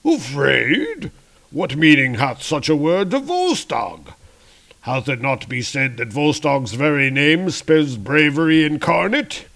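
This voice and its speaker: A man's voice: fairly high pitch, sing-song, slow, fairly bright tone, voice pushed up, exaggerated voice. A man voicing a rotund, boastful but cowardly warrior in a comic-book story, blustering in archaic speech. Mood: blustering worry.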